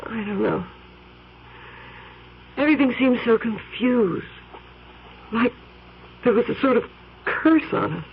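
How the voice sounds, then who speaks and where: An actress playing an anxious, worried wife who speaks in a subdued way, in a 1970s radio drama. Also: female voice, fairly high pitch, lively intonation, slow pace, gravelly, pauses often, muffled recording, a little hiss or hum, heavily acted.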